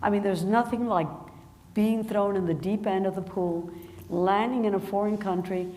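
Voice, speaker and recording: A woman's voice: medium pitch, fairly even intonation. Laughing woman author in an echoey hall. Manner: warm, laughs often, halting restarts. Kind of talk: conversation at a public book event. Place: large hall with an audience. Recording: echoey hall with faint noise.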